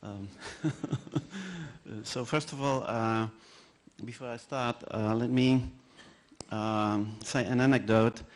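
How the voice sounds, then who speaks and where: A man with a Polish accent, a native Polish speaker, giving a conference talk in a halting way, with many fillers and restarts and self-deprecating anecdotes. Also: medium pitch, slight background noise. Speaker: conference presenter.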